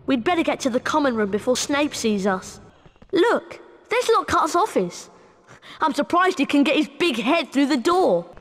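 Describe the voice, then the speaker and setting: Excitable high-voiced British schoolboy. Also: female voice, moderate pace. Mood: excited. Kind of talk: video game voice acting. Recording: game audio with long reverb.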